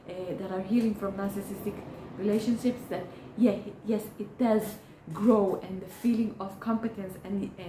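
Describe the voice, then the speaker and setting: Mellow, earnest woman. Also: medium pitch, slight background noise. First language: Hebrew. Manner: earnest, encouraging, halting with frequent restarts. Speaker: therapist. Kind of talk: direct-to-camera advice talk. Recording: roomy echo.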